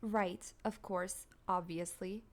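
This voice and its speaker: A woman's voice: mid-range voice, steady tone, takes it slowly, close to an everyday voice. A woman playing a chatty, curious, supportive young student friend in a comic dub. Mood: curious, teasing. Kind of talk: dramatic comic dub acting.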